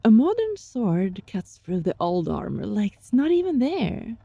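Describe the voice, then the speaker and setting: A woman playing a gentle, sly young fox-spirit student in an intimate ASMR roleplay, speaking softly and breathily in a coaxing, teasing way. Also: medium pitch, huge pitch swings, unhurried, no room sound, muffled recording, heavily acted. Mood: friendly, relieved. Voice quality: soft, breathy.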